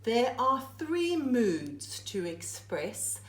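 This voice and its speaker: A woman reads a rhyming picture book aloud in an echoey room. Her delivery is very slow and deliberate, broken phrase by phrase. The pitch swings widely as she leans on words for dramatic emphasis. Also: very animated, a bit bright.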